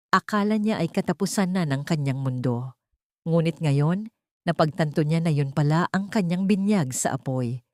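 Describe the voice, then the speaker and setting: A woman's voice: deep voice, a little mellow, dry recording, studio quality. A woman with a Filipino accent narrating a scripted drama story in a solemn, theatrical storytelling manner.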